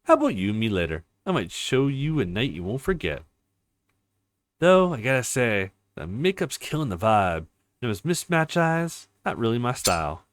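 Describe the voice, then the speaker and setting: A man's voice: mid-range voice, big pitch swings, normal speed, close to an everyday voice. A man voicing a cocky, flirtatious male smuggler in a visual novel, with a smug, teasing delivery. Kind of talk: reading visual novel dialogue.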